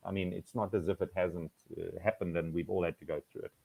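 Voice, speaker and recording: Low-voiced South African man, chatty. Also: level tone, fast, gravelly, mellow, slightly rough, no room sound. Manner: talks at length, many fillers and restarts. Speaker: online English tutor. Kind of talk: casual video-call conversation.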